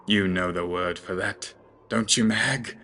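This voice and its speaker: Guy doing a pompous evil sorcerer for a fantasy audio drama, low voice, hard edge, cold and speechy. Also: measured pace, gravelly, bright, forceful tone, a little hiss or hum, heavily acted. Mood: lofty contempt. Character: ruthless, pontificating male sorcerer lord, mirthless and grandiose.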